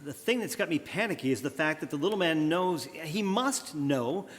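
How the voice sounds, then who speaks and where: Man playing an earnest radio detective, higher-pitched voice. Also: lively intonation, normal speed, fairly bright tone. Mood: anxious, urgent. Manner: earnest, decisive, clipped. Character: urgent, clever male amateur detective, secretly a vigilante. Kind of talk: old-time radio drama acting. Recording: live stage in reverberant church hall.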